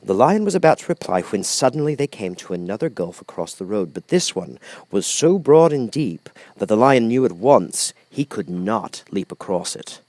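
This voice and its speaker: Animated man narrating a storybook. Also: on the low side, normal speed, no echo. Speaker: audiobook narrator.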